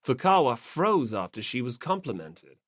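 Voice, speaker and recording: A woman's voice: rumbling low, big pitch swings, normal speed, slightly rough, dry recording, studio quality. A woman reading scripted story narration as an audiobook narrator.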